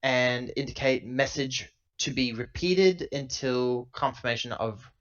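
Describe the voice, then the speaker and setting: A man playing a tabletop roleplaying game, improvising casually and thinking out loud in a rambling way, on a lo-fi laptop recording. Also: medium pitch, measured pace.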